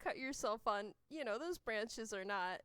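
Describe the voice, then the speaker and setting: A woman game master with a high, lively voice narrates casually and with amusement. She speaks at an unhurried pace in a dry, close recording. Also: clean tone, no room sound. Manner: giggly, amused.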